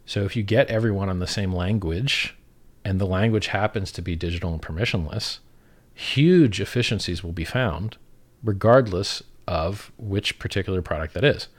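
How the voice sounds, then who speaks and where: A man's voice: deep voice, normal speed. A man giving an opinionated, casual explanation, blunt and emphatic, repeating himself and swearing freely.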